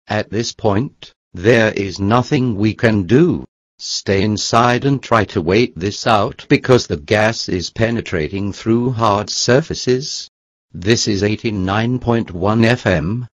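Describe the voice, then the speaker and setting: A man reading a scripted mock emergency broadcast as a radio announcer. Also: on the low side. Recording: dull, echoey lo-fi audio.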